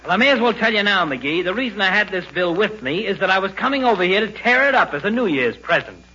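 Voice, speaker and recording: High-voiced, sarcastic radio-comedy doctor. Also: male voice, very animated, quick pace, sharp tone, slightly rough, full character voice. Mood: offended. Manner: ornate mock-threatening insults, sardonic. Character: sarcastic, exasperated family doctor, pompous wit. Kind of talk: 1940s radio comedy acting. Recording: muffled, noisy 1940s radio recording.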